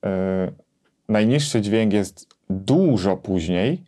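A man in his thirties explaining a gear comparison conversationally, with hesitant pauses as he thinks. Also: lowish voice, animated, dark tone. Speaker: gear reviewer and co-host. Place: small home music studio, indoors.

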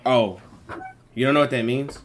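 A man's voice: mid-range voice, moderate pace, slight background noise. A young American man bantering casually in slang with strangers, as the host of random video chats. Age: young adult. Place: small room indoors.

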